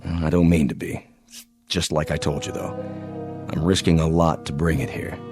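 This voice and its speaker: An actor playing a kind, weary spaceship captain who speaks in a measured, earnest way in a science fiction audio drama. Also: male voice, extremely low pitch, steady tone, gravelly, music in the background. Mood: kindly.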